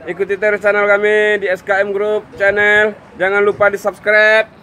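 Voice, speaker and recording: A man's voice: high-pitched, completely flat delivery, normal speed. A man, narrating casually and upbeat as he shows off engine detailing work in an outdoor workshop yard. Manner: chatty, upbeat, showing off the work. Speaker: car detailing vlogger. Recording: loud engine and water-jet noise.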